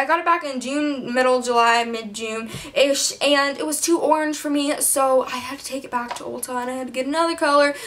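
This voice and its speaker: A teenage girl chatting her way through a makeup tutorial, full of fillers and self-mocking asides, her voice a little hoarse. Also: high voice, a bit bright. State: slightly hoarse, losing her voice. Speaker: beauty vlogger. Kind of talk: casual makeup tutorial chat.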